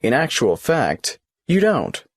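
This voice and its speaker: A man's voice: medium pitch, wildly swooping pitch, takes it slowly, no echo, clean studio sound, full character voice. A man playing a tax office clerk in a scripted exam dialogue, patiently asking questions and explaining procedures. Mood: businesslike.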